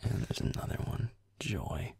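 A man playing a grumpy young werewolf boyfriend in a roleplay audio, muttering deadpan, sarcastic, sweary video game commentary in a breathy, gravelly half-whisper. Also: a little slow, lightly acted. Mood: sarcastic irritation. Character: grumpy young male werewolf boyfriend, gamer, sulky and aloof.

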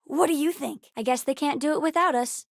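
A woman voicing a bright, bookish, spirited young girl in a video game, talking in an earnest, chatty, childlike way. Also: high voice, fairly quick, no echo, studio quality. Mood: chatty, eager. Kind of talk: acted video game character dialogue.

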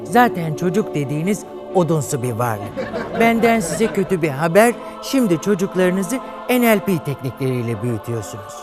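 A man's voice: on the high side, big pitch swings, normal speed, crowd noise. A man hosting a comedy show, telling a bawdy fairy tale in a campy, flamboyant way. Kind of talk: bawdy comic storytelling to a studio audience. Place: TV studio with live audience. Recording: studio audience laughter and music behind.

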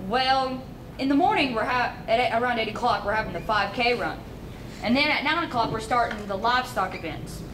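A woman's voice: on the high side, fairly quick. Young woman reading an event list, echoey room. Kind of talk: scripted skit announcing events. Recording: echoey room, distant mic, noise.

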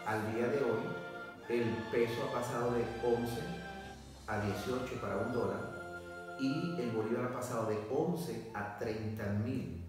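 A Venezuelan man in his forties, a pastor and former doctor, giving a testimony interview in a measured, hesitant way with many fillers. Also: mid-range voice. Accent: Venezuelan Spanish. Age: 40s. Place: indoor room, seated on sofa. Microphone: far camera. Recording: echoey room from a distance, music behind.

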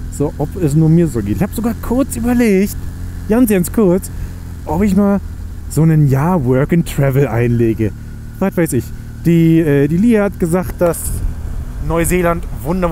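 A man's voice: a little high, mellow. A young man, chatting casually and rambling as he rides his motorcycle through the city. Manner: rambling, chatty and self-mocking. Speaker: motovlogger. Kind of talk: casual chat while riding. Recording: faint engine and road noise.

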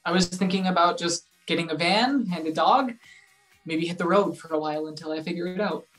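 A woman's voice: lowish voice, fairly quick, faint music behind, close to an everyday voice, poor audio. A young woman playing a dejected, wry grown-up son in a play reading over a video call. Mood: dejected, resigned. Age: young adult. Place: room at home, indoors.

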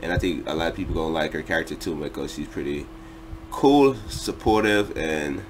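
A man's voice: rumbling low, brisk, a little hiss or hum, rough recording. A young man hosting a casual reaction video in a slangy, laid-back way from a small room. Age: young adult.

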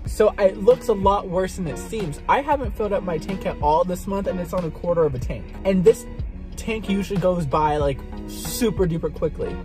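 A man's voice: high voice, normal speed, music in the background. A young man chatting casually to a camera on the dashboard as he drives, joking at his own expense. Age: young adult. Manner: chatty, self-mocking, jumps into loud sing-song bits. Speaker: vlogger. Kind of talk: casual chat while driving. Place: inside a car.

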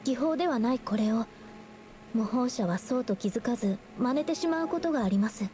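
Actress as high-voiced gentle young detective girl. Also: expressive, a little fast, a little hiss or hum, natural voice. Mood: thoughtful. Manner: soft, polite, careful explaining. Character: gentle, earnest young woman painter turned amateur detective. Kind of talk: Japanese anime game voice acting. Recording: game dialogue over music.

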